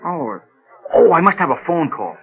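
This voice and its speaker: Earnest, stammering reluctant candidate, pained, lively pitch swings, radio drama, dull old-recording sound. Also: male voice, a little fast, noisy background. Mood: hesitant, polite. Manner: hesitant, stammering, sincere. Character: earnest, clean-living man pained by headaches, reluctant political candidate.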